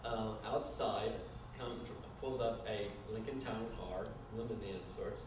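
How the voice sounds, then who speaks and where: A man narrating a staged screenplay reading in a loose, improvised, halting way, often stumbling over his words. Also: on the low side. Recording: echoey room, distant mic, noisy.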